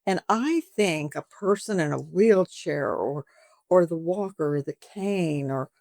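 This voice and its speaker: A lively woman in her seventies talks in a somewhat low voice, chatting freely as an interview guest. The sound is dry and close, with no room around her. She slips into the voices of her customers as she tells her stories. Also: unhurried, no echo. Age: 70s. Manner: chatty, animated, acts out customer dialogues. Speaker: boutique owner and guest. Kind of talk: conversational interview.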